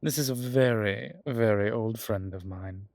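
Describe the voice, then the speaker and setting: A man playing a centuries-old vampire, a genial but weary former soldier who speaks haltingly and deliberately in an audio roleplay. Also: on the low side, creaky, darkish tone, dry recording, studio quality. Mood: amused. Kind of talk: dramatic audio roleplay acting.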